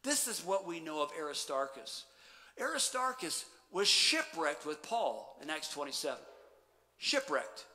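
A middle-aged man preaching a sermon as pastor, animated, then dropping to quiet emphasis. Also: on the high side, measured pace. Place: church sanctuary stage. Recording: long church reverb.

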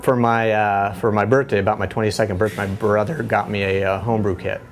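A man in his thirties with a lowish voice talks casually through a brewery tour, easygoing and loose, stopping often on fillers and restarts. He speaks on a lapel mic among steel tanks in a small brewery tucked inside a bar. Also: fast, soft background music. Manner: relaxed, many fillers and restarts. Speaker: head brewer.

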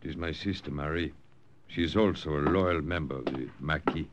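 An actor playing a wary, formal French Resistance farmer with a French accent in an old-time radio drama. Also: male voice, very deep voice, fairly quick, highs cut off, very noisy. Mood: guarded. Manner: formal, pointed, suspicious. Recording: vintage radio drama recording.

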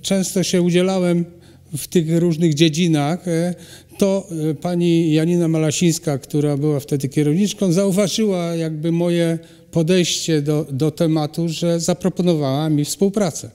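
A middle-aged man, a ceramic artist, answering interview questions in a halting way with many pauses and restarts. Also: fairly high pitch, fairly even intonation, warm tone, clean tone. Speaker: ceramic artist and cultural animator, guest. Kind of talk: hesitant conversational interview answers. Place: hall at a cultural centre. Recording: long reverb of a hall.

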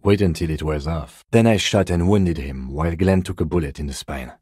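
An actor playing a composed, weary police detective in a video game, with dry, deadpan, measured delivery. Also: male voice, low voice, slightly rough, dry recording. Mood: grave, composed.

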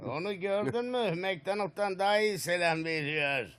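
A man's voice: sits high, moderate pace, heavy background noise, natural voice. A man voicing a sardonic, mocking senior military commander with theatrical sarcasm in a Turkish cartoon dub.

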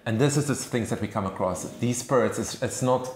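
A man's voice: mid-range voice, brisk, a little mellow. A man whose first language is Afrikaans, telling stories earnestly and emphatically in a seated discussion. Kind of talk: conversational discussion. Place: small room with sofas, indoors. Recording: roomy indoor sound.

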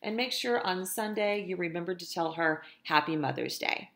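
A middle-aged woman, a teacher, reading a picture book aloud to young children in an expressive, deliberate way, speaking to them directly. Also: on the low side, gravelly, roomy sound. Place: indoors in a room at home.

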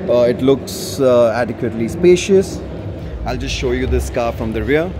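A man's voice: mid-range voice, lively intonation, moderate pace. A man presenting a car on a walkaround at an expo, explaining casually with plenty of ums and uhs. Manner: casual explaining with many fillers. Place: large indoor auto expo hall. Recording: noisy expo hall.

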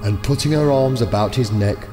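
A man's voice: mid-range voice, moderate pace. Male storyteller in long reverb. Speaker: narrator. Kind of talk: scripted story narration. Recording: long reverb, music behind.

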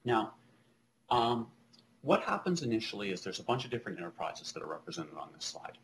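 Man, middle-aged, lowish voice, halting explainer, technical slide presentation, noisy webcam audio. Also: sing-song, gravelly. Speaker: keynote speaker, technology presenter.

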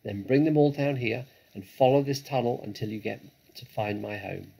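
A man voicing a confident, suave father fox in a storybook, courteous and deliberate, with a slyly theatrical manner. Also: mid-range voice, gravelly, warm tone, slightly rough, rough recording. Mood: assured.

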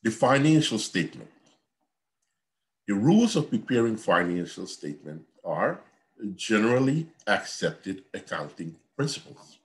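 A man with a low voice lectures students over a video call. He explains in an earnest, repetitive teacherly way, as if from a home office. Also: big pitch swings, unhurried. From Guyana. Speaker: accounting professor. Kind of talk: online university lecture.

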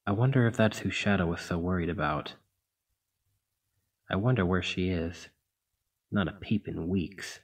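Man acting deep, husky protective demigod hero. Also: unhurried, pauses often, full character voice. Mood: brooding. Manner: exasperated, bossy, caring. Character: gruff, protective demigod hero, scolding yet caring. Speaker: ASMR roleplay voice actor. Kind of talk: ASMR roleplay acting.

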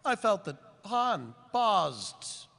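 A man voicing a naive, earnest young Jedi apprentice with a halting, questioning delivery in a live comic table read. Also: high voice, very animated, creaky, sharp tone, exaggerated voice. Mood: halting, uncertain. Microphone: table microphone. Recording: roomy hall, noisy live audience ambience.

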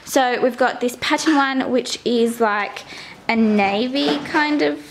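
A young Australian woman with a high voice chats to a camera held at arm's length. She talks casually and directly to her viewers as she moves around her home. A faint hiss of noise sits behind her. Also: slow pace. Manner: chatty, talking straight to the camera. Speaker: vlogger. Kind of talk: casual vlog chat. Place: home and car, indoors. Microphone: handheld camera at arm's length.

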